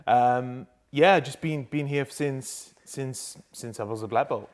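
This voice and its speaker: Man, 30s, from Iran, podcast guest, animated storyteller, casual chat. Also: medium pitch, slow. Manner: animated, says "bro" often. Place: martial arts gym.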